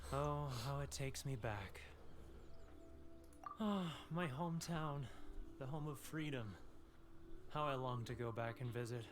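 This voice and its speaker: A man's voice: mid-range voice, unhurried, pauses often, slight background noise, close to an everyday voice. A man playing a haughty, grudging merchant with a homesick streak in a video game, theatrical in manner. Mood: wistful, nostalgic. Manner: dismissive, theatrical. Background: game music.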